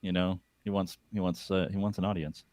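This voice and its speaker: A man's voice: low-pitched, fairly even intonation, brisk, a little mellow, no room sound. A man speaking as a podcast guest, with a rich buttery voice, laid-back and easygoing in casual conversation.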